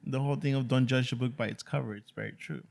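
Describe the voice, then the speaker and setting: Gravelly, laid-back man hosting. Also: medium pitch, a little mellow. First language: Spanish. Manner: laid-back, drawn-out "dude" and "you know" fillers. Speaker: podcast host. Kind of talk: casual chat.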